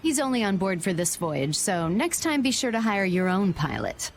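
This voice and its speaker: A woman playing a shrewd, confident merchant guild president in a video game, brisk and polished in delivery. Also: mid-range voice, very animated, faint music behind. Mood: brisk, assured. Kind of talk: video game dub acting.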